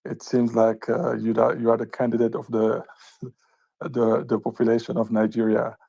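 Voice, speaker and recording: A man with a Francophone West African accent and a gravelly, husky voice. His pitch stays fairly flat as he puts a long impromptu question, halting often and repeating words. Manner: halting, searching for words, repetitive. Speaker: audience questioner.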